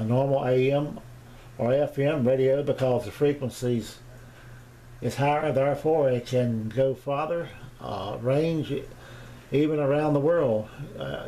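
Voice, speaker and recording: Halting, mellow-voiced man, noisy home recording. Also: medium pitch. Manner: halting, rambling delivery with long hesitations. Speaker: amateur radio hobbyist presenting his equipment. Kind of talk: casual, unscripted home video review. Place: small indoor home radio room.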